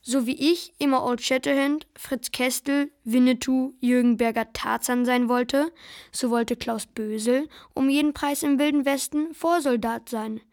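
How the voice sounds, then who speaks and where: A woman's voice: sits high, steady tone, measured pace, darkish tone. A woman playing an arch storyteller, reciting in the literary manner of a radio play. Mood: playful, declaiming.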